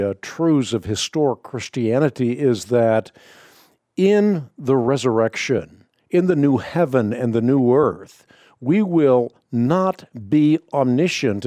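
A man from the Netherlands, a native Dutch speaker with no accent, hosting a call-in radio show and answering Bible questions in a measured, explanatory way. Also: mid-range voice, dark tone, no echo.

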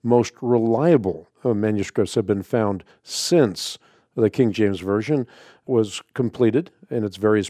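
A man's voice: on the low side, dark tone, no echo, studio quality. A middle-aged man whose first language is Dutch, hosting a call-in radio show and answering questions emphatically, often repeating words as he thinks. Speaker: radio host. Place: radio studio.

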